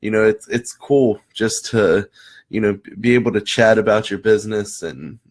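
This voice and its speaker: Hoarse low-voiced man hosting a live chat. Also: fairly even intonation, normal speed. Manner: rambling, many restarts and fillers. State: hoarse after losing his voice. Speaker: host of a live marketing chat. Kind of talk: casual live-stream talk to viewers.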